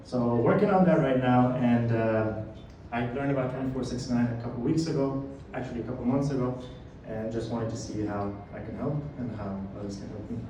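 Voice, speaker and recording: A man's voice: mid-range voice, a little fast, mellow, slight background noise. A man from Canada introducing himself as a startup founder in an easygoing way. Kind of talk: self-introduction at a meetup. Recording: echoey hall, distant mic.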